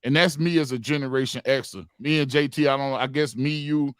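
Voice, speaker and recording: Man, forties, earnest, mid-pitched, repeats phrases for emphasis, podcast panel talk, dry close sound. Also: fairly even intonation, a little fast, clean tone, dry recording. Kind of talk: heated group podcast discussion.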